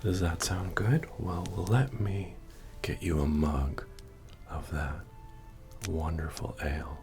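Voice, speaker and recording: A man playing a friendly, wry medieval fantasy innkeeper in a soft-spoken ASMR roleplay, with a gravelly murmur, folksy manner and gentle joking asides. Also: steady tone, slow pace. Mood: genial, wry. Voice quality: deep, gravelly, breathy murmur. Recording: soft music playing behind the voice. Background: bard-style music.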